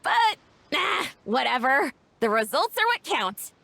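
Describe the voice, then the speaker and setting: An actress playing a breezy, unbothered festival boss in a video game, with a drawn-out, nonchalant delivery. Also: female voice, high-pitched, big pitch swings, creaky, sharp tone, raised voice, slight background noise, full character voice.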